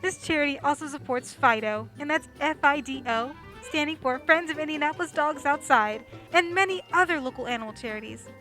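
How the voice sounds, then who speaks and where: A woman's voice: sits high, a little fast, few pauses, bright, forceful tone, soft background music. A woman reading a scripted sponsor announcement as a radio play announcer.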